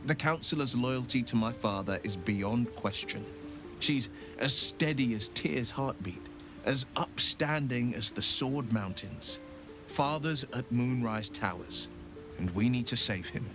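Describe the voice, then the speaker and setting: A man's voice: medium pitch, measured pace, music in the background, close to an everyday voice. A man playing an earnest, resolute young nobleman turned warlock adventurer in a dramatic video game, speaking in a formal, sincere way. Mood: solemn, proud.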